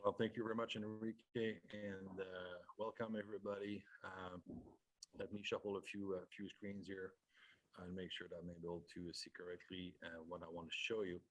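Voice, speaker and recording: French Canadian salesman presenting online, low voice, dry close headset sound, halting delivery. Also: level tone, no room sound, rough recording. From Quebec. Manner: hesitant, many fillers and restarts. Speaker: sales executive presenting a webinar. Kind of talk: casual presentation.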